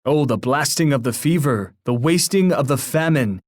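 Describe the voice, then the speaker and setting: An actor playing a proud, poetic Native war chief in a video game, speaking in a formal, grandiose, oratorical manner. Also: male voice, medium pitch, lively intonation, normal speed, no echo, studio quality, lightly acted. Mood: proud, dramatic. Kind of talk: scripted video game voice lines.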